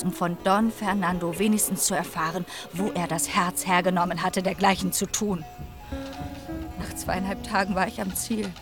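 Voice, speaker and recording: An actress playing a passionate, eloquent wronged young maiden in a German radio drama. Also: female voice, medium pitch, close to an everyday voice. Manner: eloquent, formal storytelling.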